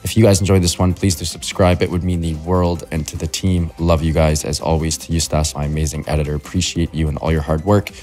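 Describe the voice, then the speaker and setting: Very deep-voiced upbeat young podcast host. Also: male voice, flat delivery, brisk, hardly any pauses, darkish tone, faint music behind. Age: young adult. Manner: upbeat, friendly, hype-y host banter. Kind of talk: podcast interview and outro. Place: bright room at home. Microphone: podcast mic in front.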